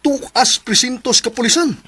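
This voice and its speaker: A man playing an excitable, anxious son in a Filipino radio drama, with a Cebuano accent. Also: extremely high pitch, normal speed, husky, heavily acted. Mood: agitated.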